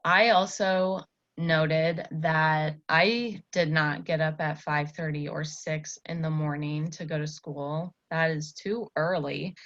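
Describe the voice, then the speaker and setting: A woman's voice: deep voice, fairly even intonation, slow pace, few pauses, fairly bright tone, dull audio. A woman teacher leading an online lesson, reading to pupils and talking with them over a video call.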